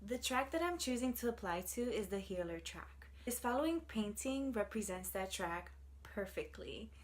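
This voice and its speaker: A woman's voice: mid-range voice, animated, normal speed. A woman in her thirties from New York City, speaking in an earnest, reflective way as she tells her personal story for a video application. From New York City, United States. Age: 30s. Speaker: artist, social worker and fellowship applicant.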